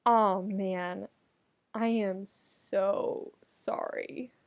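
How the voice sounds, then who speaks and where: A woman's voice: medium pitch, fairly even intonation, drawn-out words. A woman voicing a dramatic young male student who is easily offended, with exaggerated, indignant delivery. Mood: mournful.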